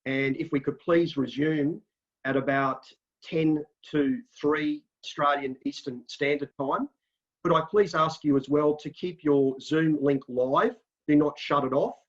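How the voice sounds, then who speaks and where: A man's voice: on the high side, fairly even intonation. A man hosting an online event over a video call who speaks politely and courteously, with small hesitations. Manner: polite, courteous, slightly halting. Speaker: event host. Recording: dull lo-fi sound.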